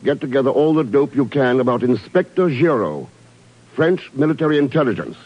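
An actor playing a cool, skeptical secret agent in a 1950s radio drama, speaking in a clipped, wry way. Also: male voice, medium pitch, expressive, fairly quick, close to an everyday voice. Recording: muffled old radio recording.

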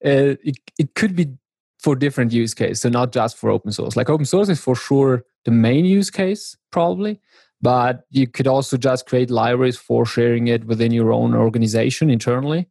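A man from northern Italy, a software developer explaining things as a podcast guest. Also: medium pitch, normal speed, vocal fry, dry recording. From South Tyrol, northern Italy. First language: German. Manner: explanatory, with restarts. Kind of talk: casual technical chat.

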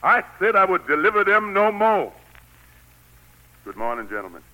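A man's voice: high voice, sing-song, normal speed, sharp tone, heavily acted, low-quality recording. An actor playing a weary, stubborn, fatherly God in an old radio drama, with a grave manner. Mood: stern. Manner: folksy Southern dialect, grave and authoritative. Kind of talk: 1940s radio drama acting. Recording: old 1940s radio broadcast recording.

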